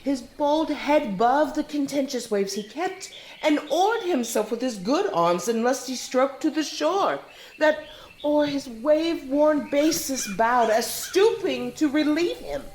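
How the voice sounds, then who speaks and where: A woman's voice: high voice, expressive, slow pace. A woman playing an earnest, reassuring courtier in a Shakespeare audio drama, recorded in an echoey room. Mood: earnest consoling. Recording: echoey room, faint noise.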